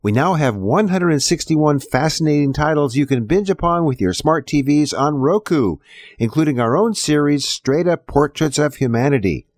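A man's voice: fairly high pitch, expressive, normal speed, a little mellow, no echo. A man hosting a scripted audio program, addressing listeners directly like an announcer. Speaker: podcast host. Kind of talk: scripted show introduction.

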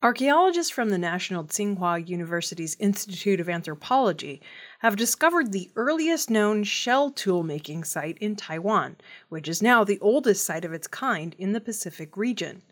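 A woman's voice: mid-range voice, animated, normal speed, fairly bright tone. A woman reading scripted news reports as a narrator. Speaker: news reader.

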